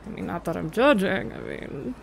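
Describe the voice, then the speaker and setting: A woman's voice: lowish voice, lively intonation, normal speed, creaky. A woman playing a playful, affectionate secret girlfriend in an intimate roleplay audio, hesitant and trailing off as she teases. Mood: teasing. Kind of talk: intimate roleplay audio, casual improvised-sounding chat. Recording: noticeable cafe ambience noise behind.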